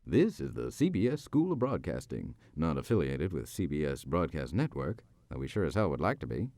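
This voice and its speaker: A man's voice: rumbling low, sing-song, fairly quick, dark tone, no room sound, exaggerated voice. A man playing a tongue-in-cheek announcer for a broadcast school, in the style of a radio announcement. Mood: wry.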